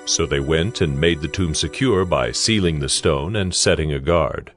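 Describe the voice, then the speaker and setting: A man narrates scripture in a very deep register. His delivery is solemn and measured, storytelling in a dramatized style, with music playing clearly behind him. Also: lively intonation.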